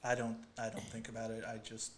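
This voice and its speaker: A man's voice: lowish voice, monotone, brisk, crowd noise. A man co-hosting a podcast, chatting casually with wry, teasing asides. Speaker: podcast co-host. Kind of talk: casual chat.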